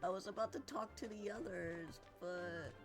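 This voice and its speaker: A woman's voice: on the low side, fairly even intonation, normal speed. A woman streaming a game with casual, playful, exclamatory asides. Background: game music.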